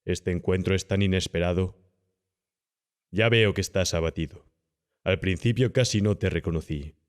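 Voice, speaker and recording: Man performing distinguished old doctor, low-pitched, pompous, condescending, falsely polite, Castilian Spanish accent, audiobook horror tale. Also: normal speed, close to an everyday voice. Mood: falsely cordial.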